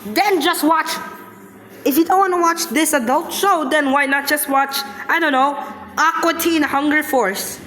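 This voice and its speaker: Woman reaction host, bright high voice, blunt and sweary, casual commentary. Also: a little slow, soft background music. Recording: echoey room with reverb, faint noise.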